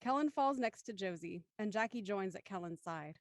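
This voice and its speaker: A woman's voice: mid-range voice, animated, moderate pace, no echo, clean studio sound. A woman narrating scripted stage directions for a live drama reading.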